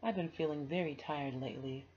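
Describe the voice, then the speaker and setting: A woman's voice: low voice, fairly quick, darkish tone, lightly acted. A woman voicing a tired, earnest, bookish little schoolgirl from a children's storybook. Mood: earnest, weary. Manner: weary, earnest. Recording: dull, noisy home recording.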